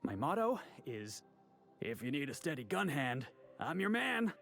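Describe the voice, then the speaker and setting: Eager, animated young dock worker. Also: male voice, a little high, a little slow, creaky, a little hiss or hum, full character voice. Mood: eager, earnest. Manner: earnest, stiltedly formal when reciting, boastful. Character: eager, cocky young male dock worker seeking crew job. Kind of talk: video game voice acting.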